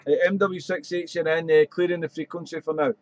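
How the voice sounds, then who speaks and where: Chatty radio ham, slightly croaky. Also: male voice, on the high side, steady tone, brisk, a little mellow, muffled recording. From Wales. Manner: chatty, rambling, fills with "there". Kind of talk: casual radio chat. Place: home radio shack.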